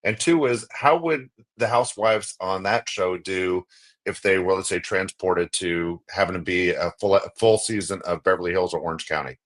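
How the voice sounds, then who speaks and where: An American man speaks as a co-host in a relaxed interview setting. His pitch is on the low side. He talks in long, rambling runs and restarts his thoughts often. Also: moderate pace. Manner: rambling, wordy questioning with restarts. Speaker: podcast co-host. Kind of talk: casual interview talk.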